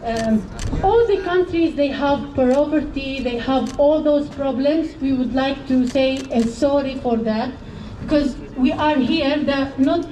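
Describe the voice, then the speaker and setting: A woman from Syria speaks slowly and earnestly at a street protest, often stopping to restart her sentences. Her high, mellow voice carries from a distance with a roomy echo. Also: highs cut off. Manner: halting, earnest. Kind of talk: rally speech. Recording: echoey and distant with faint noise.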